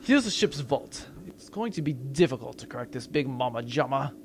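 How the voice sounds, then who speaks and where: Man playing French-accented, creaky space safecracker. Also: medium pitch, lively intonation, normal speed, over loud music, close to an everyday voice. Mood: impressed, wary. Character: curiously alluring French safecracker in a space crew. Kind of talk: sci-fi comedy audio drama acting.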